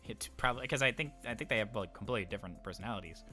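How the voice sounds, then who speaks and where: A man's voice: on the low side, very fast, music in the background. A man hosting a casual livestream, chatting in an informal, rambling, jokey way. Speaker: livestream host.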